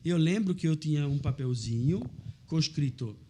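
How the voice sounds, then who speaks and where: An Italian man of middle age, a restaurant owner telling his story in a casual chat, animated and laughing as he talks. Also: on the high side, normal speed, a little hiss or hum. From Italy.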